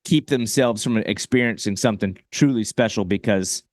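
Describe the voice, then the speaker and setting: A man's voice: fairly low pitch, normal speed, a little mellow, no room sound, studio quality. A man hosting a podcast interview in an informal, rambling, think-aloud conversational way. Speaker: podcast host.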